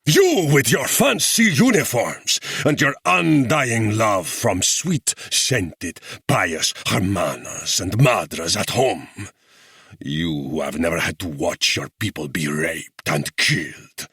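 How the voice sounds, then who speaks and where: A man's voice: on the low side, very animated, unhurried, breathy edge, dry recording, studio quality, voice pushed up, full character voice. A man voicing a savage guerrilla leader who growls and thunders, dropping Spanish words into his speech. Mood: raging. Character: savage middle-aged male guerrilla leader, menacing.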